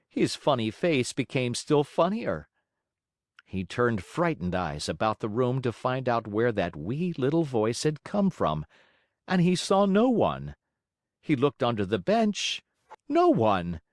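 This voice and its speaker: Slow, very animated male storybook narrator. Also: medium pitch, no echo, clean studio sound. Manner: theatrical, emphatic. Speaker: audiobook narrator. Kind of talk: expressive children's storybook narration.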